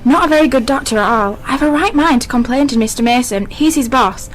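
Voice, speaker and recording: A woman's voice: high-pitched, lively intonation, fairly quick, low-quality recording. A woman playing an indignant, gossipy hospital patient in a radio drama, complaining and disapproving.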